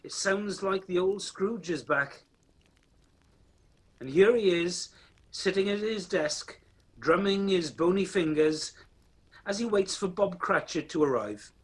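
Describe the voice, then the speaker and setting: A man's voice: high-pitched, lively intonation, slow, long pauses, husky, full character voice. A man playing the narrator of an amateur radio play, telling the story directly to the listener. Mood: storytelling.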